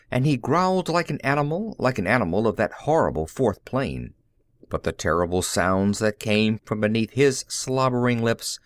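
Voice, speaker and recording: Expressive male audiobook narrator, very dry sound. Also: medium pitch, no room sound. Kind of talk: dramatic scripted narration of classic science fiction.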